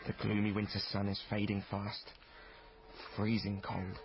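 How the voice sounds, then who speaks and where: A brooding wary lad narrating, low even voice, uneasy, radio play with muffled noisy sound. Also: male voice, measured pace, vocal fry. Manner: introspective, uneasy. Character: brooding, wary youth, son of travelling players, narrating. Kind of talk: first-person radio drama narration.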